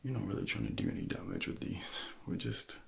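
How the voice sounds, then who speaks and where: A man in his thirties speaking in a soft, breathy near-whisper, gently and slowly coaching a workout as an ASMR personal trainer. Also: lowish voice. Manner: slow, gentle, hushed coaching.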